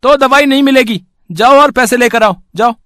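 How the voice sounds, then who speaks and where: A man's voice: very high voice, moderate pace, a bit bright, no echo, studio quality. A man voicing a greedy, curt and dismissive shopkeeper in an amateur moral story. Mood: scornful, demanding. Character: harsh, greedy male pharmacy shopkeeper, high-pitched and scornful.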